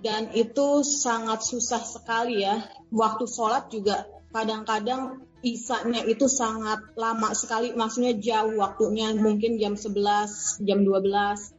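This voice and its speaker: A middle-aged woman from Indonesia speaks as an interview guest in a conversational style. Her voice has an average pitch and sounds roomy and a bit dull in the recording, with faint music behind. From Indonesia (Serang, Banten).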